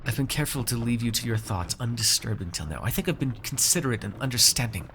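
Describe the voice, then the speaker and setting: A man playing a haughty, shrewd noble master in a fantasy ASMR roleplay, speaking in measured, formal, condescending phrases to a servant. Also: medium pitch, expressive, sharp tone, slight background noise. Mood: coaxing, wry.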